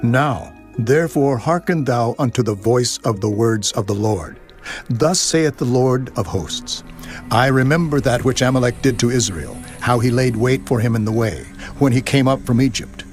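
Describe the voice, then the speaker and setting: A man's voice: medium pitch, lively intonation, faint music behind, close to an everyday voice. An actor playing a stern male prophet who rebukes a king in a dramatized scripture reading. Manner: stern, reproving, measured.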